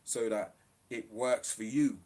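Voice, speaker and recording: A man's voice: medium pitch, steady tone, unhurried, a little mellow. A man ranting on a live stream, in a profane, preachy, fired-up way.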